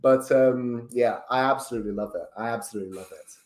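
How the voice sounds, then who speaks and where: Man from Brazil, chatty, echoey room, middle pitch. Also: quick pace. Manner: relaxed, chatty, many ums and tag questions. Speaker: podcast guest. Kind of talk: casual interview chat. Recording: roomy echo.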